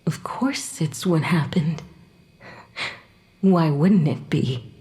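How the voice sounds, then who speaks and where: A woman's voice: low voice, slow pace, dark tone. A woman playing the bitter, desperate ghost of a poisoned wife and mother in an audio drama, volatile and anguished. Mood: defensive, insistent. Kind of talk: audio fiction podcast acting.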